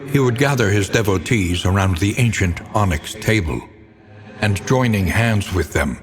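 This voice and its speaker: A man playing a grim, solemn narrator of dark gothic game tales, speaking in theatrical, ornate phrasing. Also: lowish voice, moderate pace, close to an everyday voice. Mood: grim, ominous. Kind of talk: dramatic scripted gothic narration. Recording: long reverberant tail, clear background noise.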